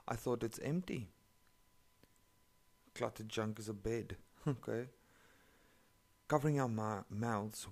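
Man, English, sarcastic swearing story reader, deep and gravelly. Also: darkish tone. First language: not English. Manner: sarcastic, profane running commentary. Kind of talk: reading online stories aloud with commentary.

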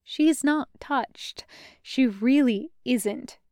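A woman reading fan fiction aloud as an expressive storyteller. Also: sits high, drawn-out words, smooth, dry recording, clean studio sound. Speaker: fan fiction podcast reader.

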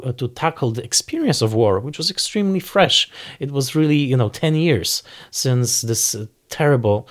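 A man speaking as a film expert in an interview, searching for words and repeating for emphasis. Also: mid-range voice.

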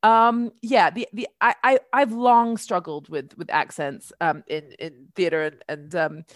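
A woman's voice: lowish voice, steady tone, a little slow, dry recording, clean studio sound. A middle-aged British woman, chatty and articulate, hosting a casual discussion about culture. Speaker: podcast host, columnist and historian.

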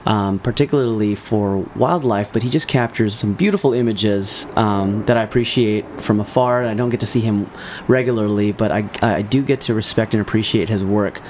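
A man's voice: lowish voice, moderate pace, darkish tone, slight background noise. A man hosting a casual interview, friendly and loose, with slangy phrasing and frequent laughs. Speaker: podcast host.

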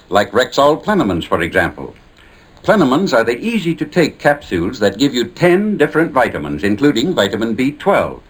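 A male radio announcer reads a scripted advertisement in 1950s style. His medium-pitched voice moves in lively swings, with formal, careful enunciation, on a vintage broadcast recording. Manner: formal, enunciated sales delivery. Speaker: commercial announcer speaking as a druggist.

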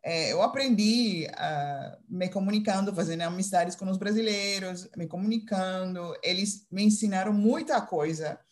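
A woman from Curaçao with a Dutch accent, a teacher being interviewed, speaking haltingly with many fillers and restarts. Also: medium pitch. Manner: hesitant, searching for words with many fillers and restarts. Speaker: English teacher, interview guest. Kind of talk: casual interview answers.

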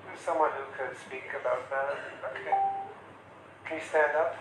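A man's voice: fairly high pitch, fairly even intonation, moderate pace, fairly bright tone, roomy sound, recorded from a distance, slight background noise, poor audio. A man teaching a class on counseling, talking casually and acting out short example questions. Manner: conversational, acts out client questions. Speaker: instructor. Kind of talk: classroom lecture.

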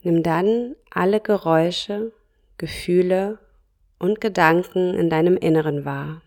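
A woman guiding a yoga nidra relaxation, speaking softly and calmly in short phrases with long pauses. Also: fairly low pitch. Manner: slow, soft and calm, with pauses between short phrases. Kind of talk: guided relaxation meditation.

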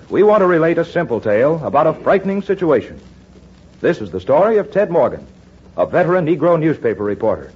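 A man's voice: on the high side, husky. A man announcing and introducing a 1940s radio program in a formal, sonorous way. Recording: muffled 1940s radio broadcast.

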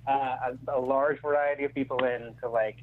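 A man's voice: medium pitch, flat delivery, slight background noise. Man on a thin phone-like line. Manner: halting, self-correcting, conciliatory. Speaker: comedy screenwriter, interview guest. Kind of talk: casual panel discussion. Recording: phone-like band-limited remote line.

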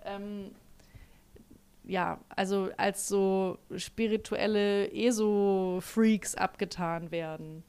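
A woman in casual conversation, outspoken and expressive, with frequent fillers. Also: mid-range voice, takes it slowly, clean tone. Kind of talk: casual chat.

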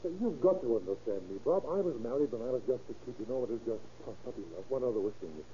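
A man's voice: medium pitch, animated, fast talker, dark tone, natural voice. An actor playing an earnest, self-justifying married businessman in love, urgent and insistent, in 1930s radio style. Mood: earnest pleading. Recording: muffled, hissy old radio recording.

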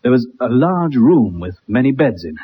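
Young ex-soldier, bitter invalid, very husky, animated delivery, radio play, dull vintage sound. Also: male voice, medium pitch, normal speed. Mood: grave, reminiscing. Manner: dramatic, haunted storytelling. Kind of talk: 1960s radio drama acting. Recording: muffled, dull old radio recording.

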